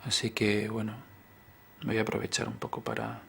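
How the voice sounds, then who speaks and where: Male conductor, quick chatty diary talk, gravelly and flat. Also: fairly low pitch, slight background noise. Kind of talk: video diary.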